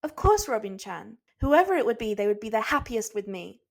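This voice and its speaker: A woman's voice: fairly high pitch, very animated, brisk, creaky, heavily acted. Woman voicing a proud, showy male cook. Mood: boastful. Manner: indignant, showy, mocking. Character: proud, dramatic male cook, smug romantic.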